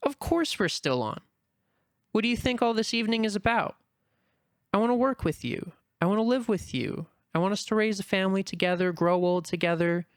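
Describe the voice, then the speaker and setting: A man voicing a shy, earnest young female rabbit in an amateur dramatic reading, speaking softly and from the heart. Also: high-pitched, lively intonation, a little fast, clear, resonant tone, dry recording, studio quality. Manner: soft, heartfelt, anxious inner murmuring. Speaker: voice actor in a fan reading.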